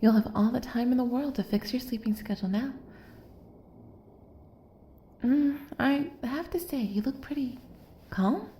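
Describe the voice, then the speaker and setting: A woman's voice: on the high side, moderate pace, reverberant, a little hiss or hum, close to an everyday voice. A woman playing an obsessive, lovestruck kidnapper in an intimate audio roleplay, doting and possessive, coaxing the listener with hesitant asides. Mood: teasing, smug. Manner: soft, coaxing, hesitant asides, drops into whispers.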